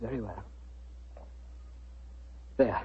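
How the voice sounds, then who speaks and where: An actor playing an uneasy, protesting young gentleman in 1940s radio style, formal and nervy. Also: fairly low pitch, very slow, breathy edge, no echo, exaggerated voice. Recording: dull, faintly noisy old radio.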